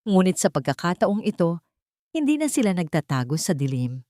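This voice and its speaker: A woman's voice: lowish voice, big pitch swings, moderate pace, mellow, no echo. Woman narrating a dramatic story, close and dry. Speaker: audiobook narrator.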